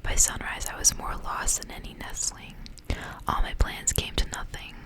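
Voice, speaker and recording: A woman speaking in a breathy, unvoiced whisper, in the intimate style of an ASMR reading. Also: normal speed. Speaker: ASMR creator reading stories aloud. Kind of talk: whispered ASMR storybook reading with casual asides.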